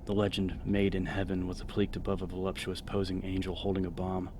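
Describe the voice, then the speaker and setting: Male audiobook narrator for horror fiction: very deep, restrained melody, dramatic read, noisy ambient backdrop. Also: completely flat delivery, quick pace.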